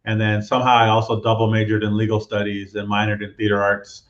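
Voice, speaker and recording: A man from Southern California talks through his career in a relaxed, meandering way. His voice sits low and barely rises or falls. The sound is dull and roomy, like a video call from an echoing room. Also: quick pace. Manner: relaxed, roundabout storytelling. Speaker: guest. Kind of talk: casual interview storytelling.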